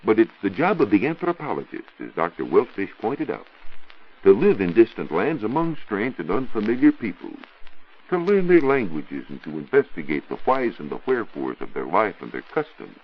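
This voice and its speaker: An American man giving a scripted 1940s radio science talk as a reporter, didactic and rhetorical in measured phrases. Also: lowish voice, very animated, breathy edge. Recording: dull 1940s radio recording.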